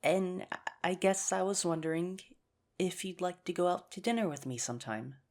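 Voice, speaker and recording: A woman acts out a nerdy young teacher who is shyly courting a crush. The voice is low for a woman, with plenty of fry. The delivery is earnest, hesitant and gently self-mocking, in an intimate ASMR romance roleplay. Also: normal speed, lightly acted. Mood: shy, hopeful.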